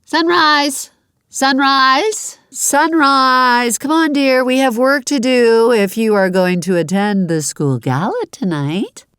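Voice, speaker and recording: A woman's voice: high-pitched, slow, fairly bright tone, smooth, dry recording, clean studio sound. A woman playing a caring, determined mother pony in an audio drama, coaxing and motherly. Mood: urging.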